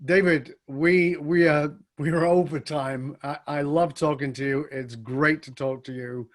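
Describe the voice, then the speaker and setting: A man's voice: fairly high pitch, fairly even intonation, measured pace. A man from the UK hosting an interview podcast, talking with enthusiasm as he wraps up with his guest. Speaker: podcast host.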